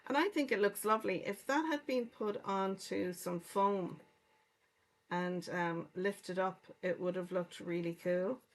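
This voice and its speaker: Slow-talking woman with a soft Irish accent. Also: mid-range voice. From Ireland, County Clare. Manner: chatty, explains each step while working. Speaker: card-making livestream host. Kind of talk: casual crafting livestream chat.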